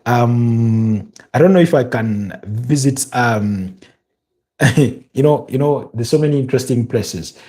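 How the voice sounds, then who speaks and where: A man with a Ugandan accent hosting a live football stream, chatting in a halting way and answering viewer comments. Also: medium pitch, dark tone. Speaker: football show host.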